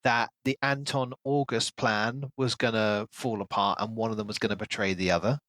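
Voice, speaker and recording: A man's voice: on the low side, steady tone, moderate pace, fairly bright tone, no room sound. A man co-hosting a casual book discussion, giving his opinions in an analytical way. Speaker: podcast co-host.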